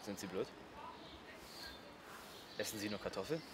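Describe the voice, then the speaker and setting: A young man gives curt, blunt answers to a reporter. His voice sits on the low side. Traffic and street noise are clearly heard outdoors. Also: moderate pace. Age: young adult. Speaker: interviewee on the street. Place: outdoors in a town square. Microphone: handheld reporter mic.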